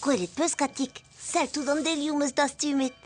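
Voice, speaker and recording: A woman's voice: high voice, fairly even intonation, moderate pace, natural voice. A woman voicing a cheeky young boy in a dubbed children's cartoon. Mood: dismissive. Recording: music under the voice.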